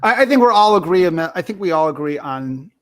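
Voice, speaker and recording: Man, fairly high voice, halting delivery, casual review show host, restarts his phrases. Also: lively intonation, a bit bright. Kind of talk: casual movie discussion.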